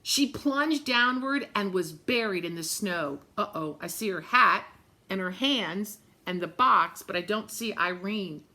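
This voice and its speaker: A woman reading a children's story aloud in an expressive, dramatic way, with chatty asides spoken directly to young listeners. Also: mid-range voice, unhurried. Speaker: story-time reader for children.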